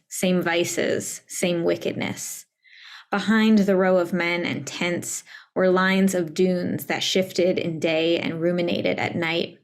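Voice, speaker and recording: A woman reads her poetry in a low, even voice. She takes it very slowly and deliberately, with little rise or fall in her delivery. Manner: measured, deliberate recital. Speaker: poet reading own work. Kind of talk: poetry reading.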